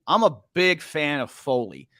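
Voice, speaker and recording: A man hosting a podcast in a relaxed, hesitant way, with many uhs and jokey asides. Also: a little high, unhurried, no room sound, studio quality. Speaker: podcast host. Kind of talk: casual podcast conversation.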